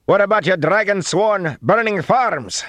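A scared, accusing country fighter, shouting from hiding. The voice leaps far above the reader's own, thick with archaic rural dialect, in a fantasy storybook. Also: male voice, high-pitched, lively intonation, unhurried, dry recording, voice pushed up, full character voice. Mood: frightened, accusing.

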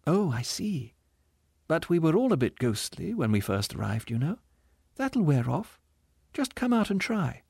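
A man's voice: on the high side, big pitch swings, measured pace, creaky, husky, no room sound, studio quality, pitched above the performer's own voice, heavily acted. Man voicing a kindly, lilting heavenly spirit. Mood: earnest reassurance. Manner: gentle, earnest, coaxing. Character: kindly, patient, cheerful bright spirit from heaven. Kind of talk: audiobook character voice.

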